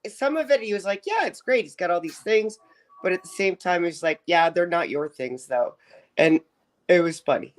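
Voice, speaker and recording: A man's voice: high voice, expressive, moderate pace. A male co-host chats casually and animatedly, acting out what people said. He sits back from his microphone, so he sounds a little distant. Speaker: podcast co-host. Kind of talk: casual chat.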